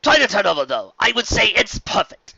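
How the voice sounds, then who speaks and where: Man putting on a bright, courtly upper-class stallion. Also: high voice, sing-song, a little fast, creaky, no room sound, dull audio, pitched above the performer's own voice, heavily acted. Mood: appraising, polite. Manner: courtly, effusive, formal. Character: suave, gracious upper-class stallion, polished nobleman.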